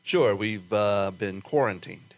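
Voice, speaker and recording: An actor in a radio drama playing a pragmatic, protective officer, plain-spoken, hesitant and placating. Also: male voice, fairly low pitch, slow pace. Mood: worried, soothing. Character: pragmatic male officer, protective friend of a test pilot.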